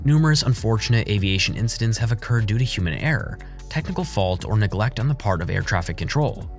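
Low-voiced male documentary narrator over music. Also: animated, quick pace. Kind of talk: scripted explainer narration. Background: background music.

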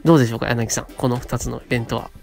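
A man around thirty with a mid-range voice. He talks casually but politely, like a radio host telling stories and pitching ideas. Music plays behind him. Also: steady tone, racing, creaky, darkish tone. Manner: polite, conversational, acts out short quotes. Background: background music.

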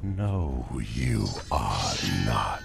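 A man's voice: extremely low pitch, big pitch swings, drawn-out words, vocal fry, loud music behind the voice, heavily acted. A man playing a sinister hooded cultist in a video game, speaking in an ominous, ceremonial way. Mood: solemn, menacing. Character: sinister, solemn hooded cultist serving a dark master. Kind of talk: video game cutscene acting.

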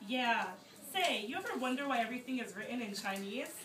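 A woman's voice: fairly high pitch, animated, brisk, natural voice. A woman playing a simple, curious, friendly farmer in an amateur puppet show. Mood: wistful, questioning. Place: classroom, performers behind a draped table. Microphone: distant camera. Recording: noisy, echoey classroom from far off.

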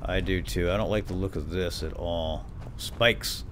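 A man's voice: low-pitched, steady tone, measured pace, a bit bright, a little hiss or hum. A man giving playful, lightly role-played let's play commentary, chatting to his in-game companion.

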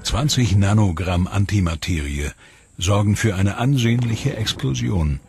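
A man narrating a science documentary in a calm, measured, scripted delivery. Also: lowish voice. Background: music.

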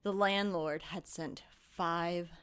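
A woman playing an earnest, haunted young female blacksmith in tabletop roleplay, telling her story in measured, literary phrases with dramatic pauses. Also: lowish voice, steady tone, fairly bright tone, smooth. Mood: composed.

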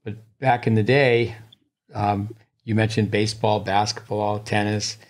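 A man's voice: lowish voice, flat delivery, a little slow. A man co-hosting a podcast interview, chatting conversationally and thinking aloud about youth sports. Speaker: podcast co-host. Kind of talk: casual interview talk. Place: podcast studio. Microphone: studio mic with headphones.

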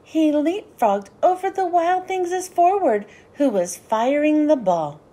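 A woman narrates a children's storybook in a high voice that swoops up and down. Her delivery is animated and deliberate, with a soft, mellow tone and an unhurried pace suited to young listeners. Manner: animated, sing-song, deliberate phrasing. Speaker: children's book read-aloud host.

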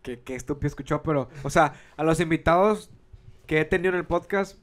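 A man's voice: on the high side, a little fast. A man, hosting a podcast in casual, joking chat and laughing often, recorded close on a handheld mic in a small room. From Mexico. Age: 20s. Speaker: podcast host. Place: small room, seated on a couch. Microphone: handheld microphone.

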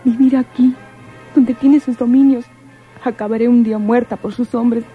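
A woman's voice: fairly high pitch, steady tone, normal speed, slightly rough, narrow, tinny audio, faint music behind. An actress playing a defiant, anguished woman in a melodramatic radio drama. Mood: despairing. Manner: melodramatic, emotional. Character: defiant, anguished woman facing her sister's killer.

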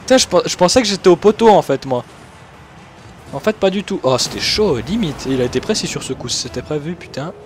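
A young man from Switzerland, commentating his own gameplay excitedly and laughing often. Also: fairly high pitch, fairly quick, faint music behind. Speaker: gaming YouTuber.

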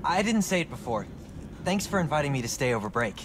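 A man's voice: fairly high pitch, very animated, fast talker, noisy background. A man playing a chatty, affable teenage boy in natural game dialogue. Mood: relaxed, friendly. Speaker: video game character. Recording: game audio with music behind.

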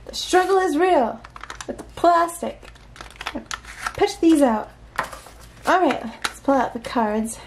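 A woman with a high voice chats casually to herself through an unboxing, prone to giggles and small exclamations. She is recorded indoors at a tabletop, with faint noise behind her. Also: lively intonation, slow pace, long pauses. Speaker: unboxing vlogger.

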